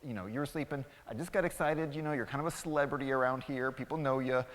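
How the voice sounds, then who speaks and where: A man voicing an excitable, eager and friendly little mouse in a children's story, chatty and earnest. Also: mid-range voice, fast, close to an everyday voice. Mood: apologetic. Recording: echoey hall with long reverb.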